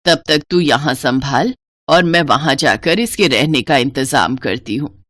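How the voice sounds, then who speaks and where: A woman's voice: extremely low pitch, animated, a little slow, fairly bright tone, no room sound, clean studio sound, pitched below the performer's own voice, exaggerated voice. A woman voicing a stern, bossy older Indian mother-in-law in a family storybook tale. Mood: reassuring. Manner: nagging, bossy.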